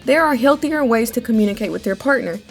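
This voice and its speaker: A young woman presents opinion commentary. Her voice sits fairly high and she explains things in a measured, conversational way over faint music. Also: animated. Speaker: anime commentary video host.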